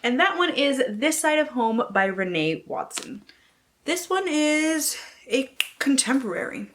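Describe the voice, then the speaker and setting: A woman's voice: sits high, big pitch swings, measured pace, background noise. A woman book vlogger chatting casually and reading blurbs aloud in a playful, theatrical way, with slang and free swearing.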